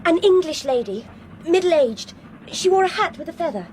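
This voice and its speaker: A woman's voice: very high voice, animated, normal speed, darkish tone. An actress playing an insistent, anxious young Englishwoman on a train in a radio drama. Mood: determined. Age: young adult. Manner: urgent, determined. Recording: noisy train ambience behind voice.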